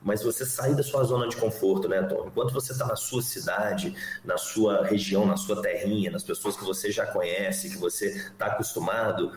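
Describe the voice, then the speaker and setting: A man's voice: lowish voice, normal speed, hardly any pauses. A Brazilian man with a Mineiro accent, a headhunter being interviewed, who speaks earnestly in long flowing sentences. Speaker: headhunter guest. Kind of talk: interview conversation. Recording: roomy echo, faint background noise.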